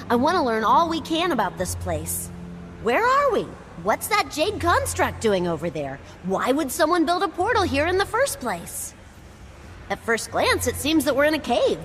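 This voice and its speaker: A woman playing an eager, impatient researcher in a video game, brisk and full of curiosity. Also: fairly high pitch. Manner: brisk, excitable, inquisitive. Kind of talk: scripted video game dialogue.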